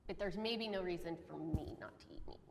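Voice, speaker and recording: A woman's voice: fairly low pitch, a little fast. A woman debating casually and candidly, informal and plain-spoken. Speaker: discussion panelist. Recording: reverberant room with faint background noise.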